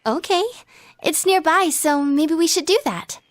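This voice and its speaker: High-voiced, coy teen idol girl. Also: a little slow, over music. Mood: affectionate, teasing. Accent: American. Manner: cutesy, coy, playful. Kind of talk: anime video game dub acting.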